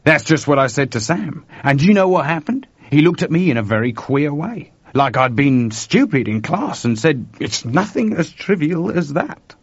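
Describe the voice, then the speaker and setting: A man voicing an urgent, nervous young male computer technician, talking in an excited rush. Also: fairly high pitch, moderate pace, few pauses, slightly rough, dry recording, pitched above the performer's own voice. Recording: dry, dull lo-fi recording.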